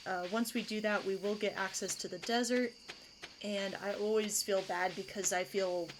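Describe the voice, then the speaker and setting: A woman's voice: fairly low pitch, background noise. A woman hosting a casual let's play, explaining her plans step by step as she goes. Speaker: gaming video host. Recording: music playing behind. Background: game music.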